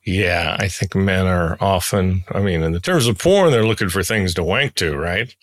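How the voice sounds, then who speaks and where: A man's voice: low-pitched, animated, moderate pace, dry recording. A man interviewing a guest conversationally as a podcast host.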